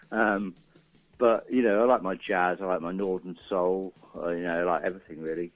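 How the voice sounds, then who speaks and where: A man's voice: deep voice, steady tone, normal speed. A man being interviewed as a musician guest in casual chat, hesitant and easygoing, over a narrow phone-like connection. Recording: narrow, muffled phone-like call.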